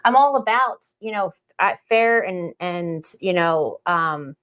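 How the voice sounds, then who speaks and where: Woman podcast host with a lowish voice. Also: animated, unhurried, fairly bright tone, muffled recording. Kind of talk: casual political commentary.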